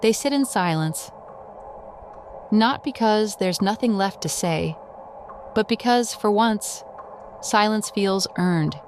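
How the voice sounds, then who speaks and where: A woman narrator with a medium-pitched voice delivers dramatic scripted narration slowly and solemnly. A faint ambient noise runs behind her. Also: darkish tone. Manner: measured, solemn.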